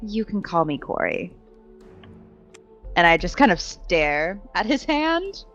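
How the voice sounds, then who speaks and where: A woman's voice: fairly low pitch, very animated, takes it slowly, fairly bright tone. A woman casually describing what her character does as a player in a tabletop game. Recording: dull lo-fi mic, faint music.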